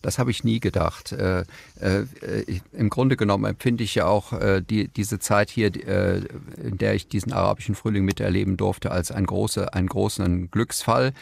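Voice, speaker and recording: A male foreign correspondent answers hesitantly, with many fillers. His voice sits very low and is heavily gravelly. It is recorded dry and close. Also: steady tone, moderate pace, no echo.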